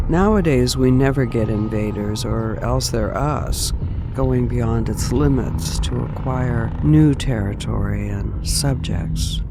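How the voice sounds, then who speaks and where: A man reciting a reflective literary piece in a measured, deliberate way. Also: mid-range voice, noisy background.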